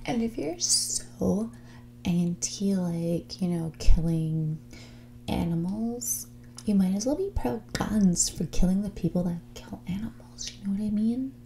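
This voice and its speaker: Woman acting out a creaky, whispering rich mean girl. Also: medium pitch, measured pace, a little hiss or hum. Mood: snobby, smug. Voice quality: breathy whispers mixed with soft creaky speech. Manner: condescending, drawling, catty, blunt questioning. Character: mean, snobbish rich young woman on a date. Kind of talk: ASMR roleplay, whispered and soft-spoken.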